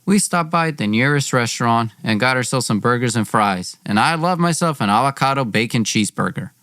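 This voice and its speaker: A man's voice: medium pitch, animated, fairly quick, fairly bright tone, no echo, clean studio sound. A young man hosting a podcast, telling a personal story dramatically in an informal, wry way, acting out dialogue. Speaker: podcast host.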